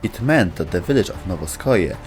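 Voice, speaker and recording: A man's voice: sits low, animated, fairly quick. A man narrating a scripted video essay about game lore. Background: music.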